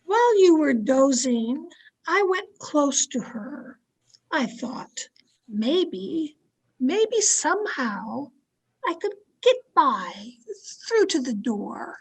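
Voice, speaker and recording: A woman acting a domineering, fearful, haunted wife in an old-time radio thriller, with an imperious, dramatic delivery full of halting dread. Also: high voice, big pitch swings, creaky, heavily acted, rough recording. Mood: hushed dread.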